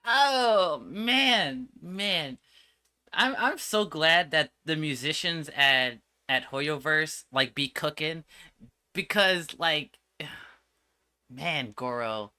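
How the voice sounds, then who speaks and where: A man's voice: on the high side, animated, extremely slow, pushed and bright, smooth. A man giving casual, slangy reaction commentary as a game streamer, with an exasperated manner.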